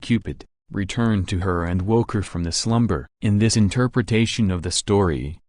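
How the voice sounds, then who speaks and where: Actor as low-voiced, confident young art lover. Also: male voice, moderate pace, darkish tone, no echo, clean studio sound, natural voice. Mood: passionate, explanatory. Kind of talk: visual novel voice acting.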